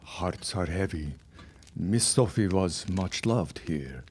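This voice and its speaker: Low-voiced, deferential henchman acted by a man. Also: animated, breathy edge, exaggerated voice. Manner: subservient, measured, formal. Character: deferential, obedient henchman to a villainous reverend. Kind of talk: period radio drama acting.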